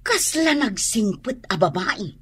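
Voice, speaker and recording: A woman's voice: mid-range voice, big pitch swings, a little slow. A woman with a Filipino accent playing an insistent gossip in an Ilocano radio drama. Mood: insistent, gossipy. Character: insistent female gossip reporting a scandal. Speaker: drama actor.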